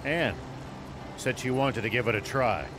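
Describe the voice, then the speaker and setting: A man voicing a grave, steady, protective older soldier in a video game, speaking in a measured way. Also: mid-range voice, music in the background, lightly acted. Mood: concerned.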